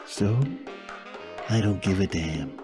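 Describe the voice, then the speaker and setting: A man's voice: low voice, slow, music in the background. A man voicing a smug, crude small-town husband who taunts his wife. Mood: taunting. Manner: taunting, careless.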